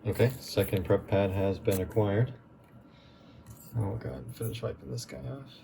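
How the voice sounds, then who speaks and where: A man hosting a relaxed, chatty repair walkthrough, his voice going a bit hoarse as he works. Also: lowish voice, normal speed, noisy background. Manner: relaxed, chatty, with many fillers. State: losing his voice. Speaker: tech repair video host.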